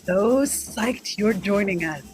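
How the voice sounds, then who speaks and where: A man's voice: sits high, animated, slow pace, soft background music. A man playing an over-friendly, upbeat corporate minder with slangy encouragement in a table read. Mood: chirpy.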